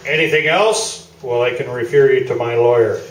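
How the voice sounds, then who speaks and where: An actor playing a smug, self-promoting veteran sports columnist, pompous and falsely modest, in a staged radio play. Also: male voice, mid-range voice, moderate pace, close to an everyday voice. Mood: smug, defensive. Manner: pompous, mock-sincere. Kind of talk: staged radio play interview before an audience. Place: small theatre, live staged performance. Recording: roomy distant stage recording with noise.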